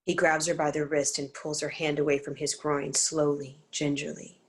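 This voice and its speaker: A woman's voice: low voice, little pitch movement, normal speed. A woman reading stage directions as the narrator, in a scripted narration style.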